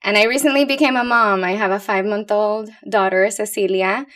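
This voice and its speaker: A woman from Puerto Rico, with that island's accent, talks as an expert guest on a casual podcast. Her voice sits at a middle pitch, and her manner is candid and playful. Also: fairly even intonation, fairly quick, a bit bright. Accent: Puerto Rican. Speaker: guest expert, pediatric liver doctor.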